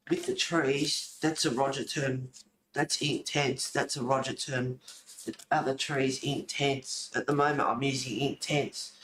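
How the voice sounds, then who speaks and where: A man chatting casually and informally, rambling along as he hosts a relaxed hobby stream. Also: mid-range voice. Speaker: host of a colouring club stream. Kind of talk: casual rambling chat while colouring. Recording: roomy echo, faint background noise.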